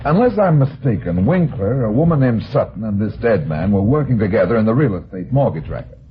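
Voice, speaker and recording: An actor playing a tough, self-assured private detective in an old-time radio drama, with a slangy hard-boiled delivery. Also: male voice, fairly low pitch, big pitch swings, fairly quick. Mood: assured, reasoning. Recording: noisy, narrow-band old radio recording.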